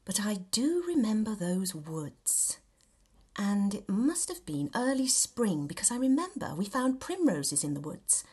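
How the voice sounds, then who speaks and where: A woman reading a novel extract aloud in an expressive, theatrical and measured storytelling style. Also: medium pitch, breathy edge. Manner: theatrical, measured storytelling with dramatic emphasis and hushed dips. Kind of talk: expressive scripted audiobook narration.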